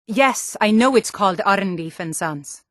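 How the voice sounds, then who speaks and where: A woman's voice: mid-range voice, expressive, unhurried, no room sound, lightly acted. Woman acting a forthright Nord townswoman, close dry sound. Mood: proud, scornful. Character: hardy, forthright Nord townswoman and guard from a fantasy north. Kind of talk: fantasy video game character dialogue.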